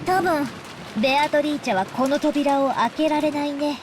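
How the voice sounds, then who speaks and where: A woman's voice: sits high, fairly even intonation, fast talker, noisy background, close to an everyday voice. A woman voicing an eerie young girl obsessed with witchcraft in a visual novel, childlike yet solemn as she lectures on occult lore. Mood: solemn, knowing. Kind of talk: Japanese visual novel voice acting. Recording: prominent music behind the voice.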